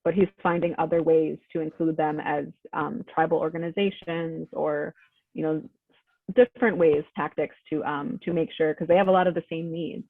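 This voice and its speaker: A woman's voice: deep voice, steady tone, normal speed, no room sound. A woman presenting a workshop over a video call, speaking in an informative, affirming way. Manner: informative, affirming, uses "um" a lot. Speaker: workshop presenter from an advocacy group. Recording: tinny, dry phone-like audio.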